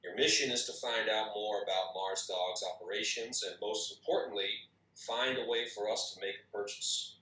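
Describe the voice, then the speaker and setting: An actor reads a businesslike male mission handler giving a briefing. His voice is a bit low but forceful and bright, slightly distant in an echoey room during a table read. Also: moderate pace.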